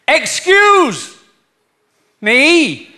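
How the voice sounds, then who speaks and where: A male comedian acts out an Englishman abroad in a comic gag. He pitches his voice up, loud and bright, and stretches each word extremely slowly, over-enunciating so that foreigners understand. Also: huge pitch swings, heavily acted. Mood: painstakingly slow.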